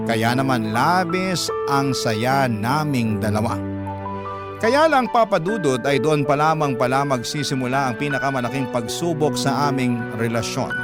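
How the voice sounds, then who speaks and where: A man's voice: medium pitch, close to an everyday voice. An actor playing a wistful Filipino boyfriend who narrates his sad love story in radio drama style, earnest and confiding. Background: loud musical score.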